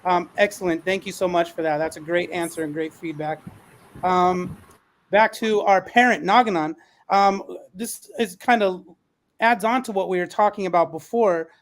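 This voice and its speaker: A man's voice: high voice, normal speed. A man hosting a panel discussion, speaking in an encouraging, affirming manner. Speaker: panel moderator. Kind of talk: moderating an online panel discussion.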